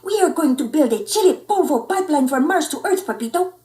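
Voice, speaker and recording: A woman's voice: high-pitched, animated, brisk, mellow, voice pushed up. A woman voicing a tiny cheeky male chihuahua, brash and slangy, peppering the talk with Spanish. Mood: excited. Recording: roomy indoor echo.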